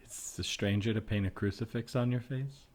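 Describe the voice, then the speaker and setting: A man's voice: lowish voice, normal speed, darkish tone, lightly acted. A man playing a shy, agreeable fellow, hesitant and accommodating, in improvised audio comedy. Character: shy, agreeable man at face-painting meetup.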